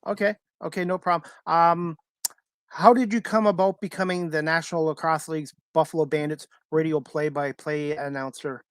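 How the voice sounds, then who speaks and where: Higher-voiced man hosting a casual interview. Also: fairly even intonation, moderate pace, fairly bright tone, clean tone, no room sound, studio quality. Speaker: talk show host. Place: remote video call, dry sound.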